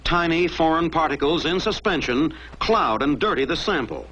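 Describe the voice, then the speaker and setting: Husky, high-pitched male training film narrator, American. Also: expressive, normal speed, pushed and bright. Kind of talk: scripted mid-century military training film narration. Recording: dull lo-fi old film soundtrack.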